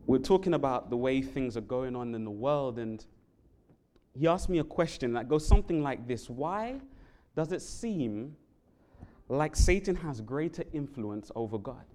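A man from England with a London accent, preaching a sermon in a church. He speaks earnestly and climbs sharply in pitch and volume on key words. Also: lively intonation, a little slow, dark tone. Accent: London English. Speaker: Bible worker preaching. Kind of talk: sermon with prayer and scripture reading. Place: church sanctuary.

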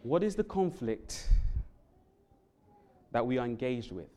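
A man from England with a London accent, preaching a sermon in a church. He speaks earnestly and climbs sharply in pitch and volume on key words. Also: big pitch swings, a little slow, warm tone. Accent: London English. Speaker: Bible worker preaching. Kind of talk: sermon with prayer and scripture reading. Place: church sanctuary.

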